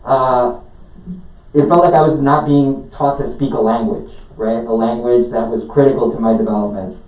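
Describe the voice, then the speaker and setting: A man in his thirties from Peru speaks haltingly at a board meeting, padding his words with fillers and restarting often. His voice is mellow and dark. It comes from a distance in an echoey meeting room. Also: medium pitch, fairly even intonation. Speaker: parent speaking at public comment. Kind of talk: public comment at a school board meeting. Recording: echoey room, picked up from afar.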